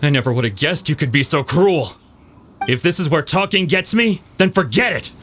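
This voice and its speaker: An actor playing a cowardly young thief in a game, begging and desperate. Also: male voice, a little high, expressive, moderate pace, a bit bright, a little hiss or hum. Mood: defiant. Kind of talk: game voice acting.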